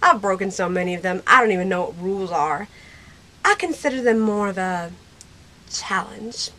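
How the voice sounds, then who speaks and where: A woman's voice: medium pitch, animated, normal speed, bright, forceful tone, a little hiss or hum. A woman playing a stubborn, sassy, self-reliant teenage frontier girl in an amateur audio drama. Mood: teasing.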